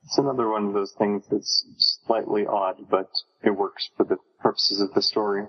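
A man's voice: fairly low pitch, fairly even intonation, moderate pace, breathy edge. A man co-hosting a casual book discussion, musing aloud in a tentative way. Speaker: podcast co-host. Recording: dull, echoey lo-fi sound; long echoey reverb.

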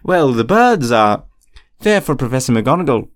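A man voicing cheeky, quick-witted schoolboy pranksters in a story, with a glib, cheerful, easygoing manner. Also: mid-range voice, sing-song, pitched above the performer's own voice, lightly acted.

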